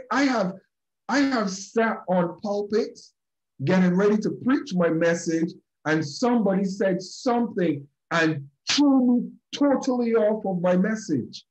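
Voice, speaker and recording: A man's voice: high voice, a little mellow, low-quality recording. A man with a Barbadian accent speaking emphatically in a group session, repeating key phrases and addressing listeners directly, on a video call. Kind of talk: preachy motivational talk.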